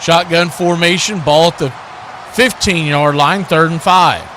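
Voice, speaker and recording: A male sports commentator with a high, very bright, forceful voice calls live football play-by-play. He is excitable and describes each moment as it unfolds, with a faint noise behind him. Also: unhurried. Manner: excitable, calling action as it happens. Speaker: play-by-play host.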